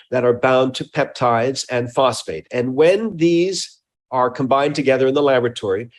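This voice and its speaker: A middle-aged or older man, a research scientist speaking as an interview guest, explains technical matters in a deliberate, precise way. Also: mid-range voice.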